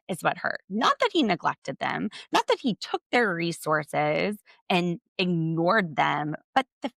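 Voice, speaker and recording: A woman's voice: fairly low pitch, vocal fry, a bit bright, no room sound, studio quality. A woman hosting a solo podcast, recapping a reality TV episode with sarcastic, exasperated commentary. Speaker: podcast host.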